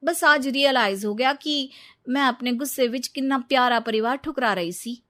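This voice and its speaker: A woman's voice: sits high, normal speed, sharp tone. A woman voicing a headstrong, scheming young bride with a Punjabi accent, emphatic and defiant, in a story.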